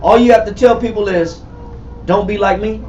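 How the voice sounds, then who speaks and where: A man in his forties teaching a Bible study, emphatic and repetitive, calling on readers and building to shouts. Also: sits high, moderate pace, a little hiss or hum. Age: 40s. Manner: emphatic, repetitive, calls for readings, rising to shouts. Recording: far-off echoey room, muffled and lo-fi.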